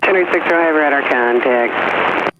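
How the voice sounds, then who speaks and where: A man's voice: medium pitch, moderate pace, dull audio. A man working as an air traffic controller, giving clipped procedural instructions over aviation radio.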